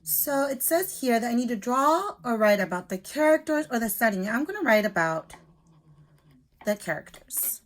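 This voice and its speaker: A woman's voice: fairly high pitch, lively intonation, normal speed. A woman teacher reading aloud and explaining a simple lesson to young children step by step. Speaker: elementary school teacher.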